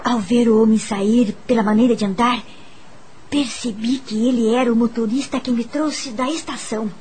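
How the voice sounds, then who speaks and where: Radio drama lead: woman playing an uneasy young Brazilian governess, high, expressive, faint background noise. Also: normal speed, lightly acted. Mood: friendly, curious. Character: curious, uneasy young live-in woman teacher. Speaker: lead actress and narrator. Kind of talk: radio drama narration and dialogue.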